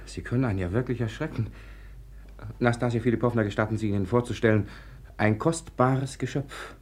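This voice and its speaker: An actor playing a resentful, irritable grown son with a curt, sarcastic manner in a 1950s German radio drama. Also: male voice, mid-range voice, fairly quick, a little mellow, natural voice. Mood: strained, sardonic.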